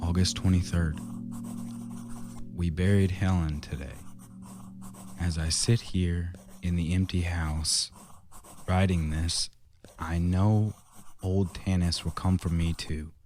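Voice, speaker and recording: An actor playing a haunted, frightened husband in an amateur radio horror drama. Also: male voice, sits low, fairly even intonation, slow, long pauses, a little mellow. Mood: resigned dread. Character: haunted, frightened husband stalked by a dead man.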